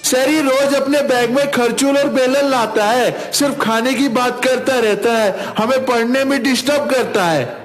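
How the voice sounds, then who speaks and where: A man's voice: very high-pitched, measured pace, hardly any pauses, faint music behind. A man voicing an earnest, complaining young schoolboy in a cartoon. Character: tattling, teasing young schoolboys and a gentle food-loving boy. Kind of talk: Hindi cartoon dialogue.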